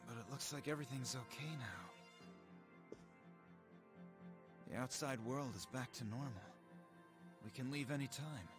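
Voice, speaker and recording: A man playing a brash, excitable teenage boy in an anime video game dub. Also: mid-range voice, unhurried, long pauses, close to an everyday voice. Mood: puzzled. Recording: game music clearly under the voice.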